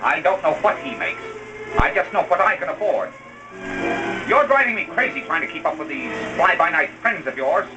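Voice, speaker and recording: An actor playing an exasperated, thrifty young working husband in a 1930s melodramatic film style. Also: male voice, fairly high pitch, sing-song, normal speed. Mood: irritated. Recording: dull old film soundtrack, music behind.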